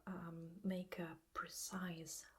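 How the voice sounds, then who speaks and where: A woman with an Italian accent giving a gentle whispered ASMR lesson, soft and hesitant with many pauses. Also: lowish voice, little pitch movement. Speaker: ASMR teacher.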